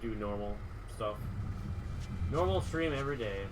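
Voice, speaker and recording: A man's voice: medium pitch, expressive, normal speed, noisy background. A man streaming a game, chatting casually in a rambling, repetitive way. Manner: rambling, repeats words. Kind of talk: casual chat while playing a game. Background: video game music.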